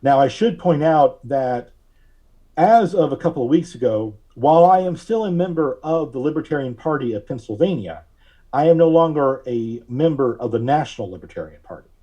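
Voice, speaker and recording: A man giving measured, carefully worded explanations as a podcast guest. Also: medium pitch, lively intonation, warm tone, dull audio. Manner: measured, deliberate, precise wording. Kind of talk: explanatory interview talk.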